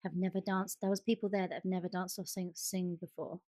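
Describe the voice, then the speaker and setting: A woman's voice: fairly low pitch, level tone, a little fast, warm tone, dry recording. A woman co-hosting a podcast in casual chat, gushing and emphatic, often repeating herself for stress. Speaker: podcast co-host.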